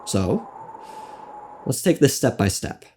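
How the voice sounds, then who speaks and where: A man narrating a scripted game lore video essay in a wry, theatrical manner with dramatic pauses. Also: mid-range voice, big pitch swings, mellow, a little hiss or hum. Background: music.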